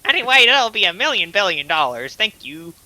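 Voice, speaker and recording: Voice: medium pitch, very animated, a little fast, sharp tone, no echo, low-quality recording. A co-host on a casual gaming stream, trading dry, teasing banter.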